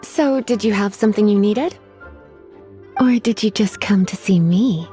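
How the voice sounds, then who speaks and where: A woman playing a flirtatious, languid librarian in a video game, teasing with a quiet hint of menace. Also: medium pitch, measured pace, lightly acted. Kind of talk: English video game voice acting. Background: soft game music.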